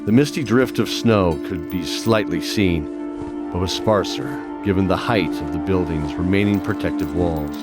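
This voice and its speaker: Deep-voiced man narrating fantasy. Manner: measured, descriptive. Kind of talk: scripted fantasy narration, storytelling. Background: faint music.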